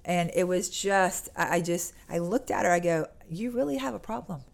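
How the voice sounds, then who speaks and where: American lady activist in an interview, kinda low voice, earnest, pushes her point. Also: lively intonation, normal speed, creaky. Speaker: activist guest being interviewed. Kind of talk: conversational interview talk with acted-out anecdotes.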